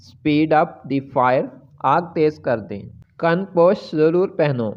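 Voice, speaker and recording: Slow, deliberate male language teacher. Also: medium pitch, dull audio. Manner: says each English phrase twice slowly between Hindi lines. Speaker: English teacher. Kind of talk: slow repeated phrase drills.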